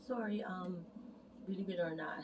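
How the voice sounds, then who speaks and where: A woman's voice: fairly low pitch, moderate pace. A woman massage therapist talking casually and earnestly to camera, rambling and correcting herself as she goes. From Canada immigrant, arrived as a teen. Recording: distant mic, noticeable background noise.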